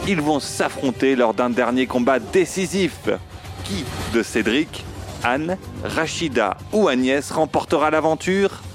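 A man's voice: mid-range voice, expressive, a little slow, music in the background. A man hosting a radio show with sardonic commentary and mock-dramatic narration.

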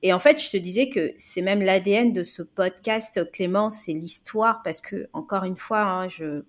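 A woman hosting a conversational podcast interview, speaking reflectively with halting, restarted phrases. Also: mid-range voice. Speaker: podcast host.

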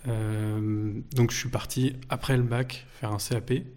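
A young man in his twenties chatting as an interview guest. He talks at an even, middling pitch for a man, hesitating often and restarting his sentences as he searches for words. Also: unhurried. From France. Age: 20s. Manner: hesitant, many restarts and fillers. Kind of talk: casual interview.

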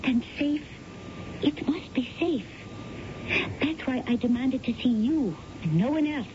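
An actress playing an earnest, self-effacing widow in a radio drama, emphatic and pleading. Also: female voice, animated, a little slow. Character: earnest, self-effacing recent widow seeking financial help. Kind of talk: 1970s radio drama acting. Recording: muffled, noisy 1970s radio broadcast with rumble.

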